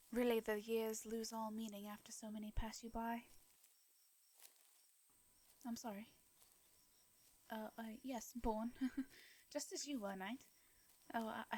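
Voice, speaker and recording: A woman with an English accent voicing a gentle, anxious, centuries-old vampire in an intimate fantasy audio roleplay, speaking softly and breathily, with hesitant, formal wording. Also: mid-range voice, a little hiss or hum, natural voice. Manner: hesitant, stammering, formal old-fashioned speech.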